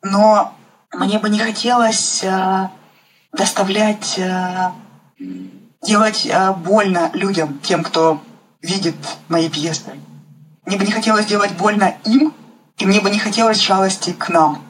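A woman from Ukraine speaking reflectively as an interviewed playwright, halting and searching for her words. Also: medium pitch, rough recording. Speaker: guest playwright. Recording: faint background noise.

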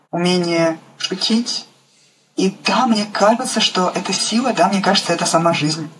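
A woman's voice: lowish voice, a little hiss or hum, rough recording. A woman from Ukraine, a playwright, speaking spontaneously in an interview and often searching for words.

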